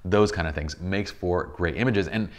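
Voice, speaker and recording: A man in his thirties with a deep, slightly tired voice, explaining photography basics in a casual studio tutorial. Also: moderate pace. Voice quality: deep voice, a bit tired from a long day. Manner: enthusiastic, explains with many examples and restarts. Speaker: photography instructor. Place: bright indoor studio set with a sofa.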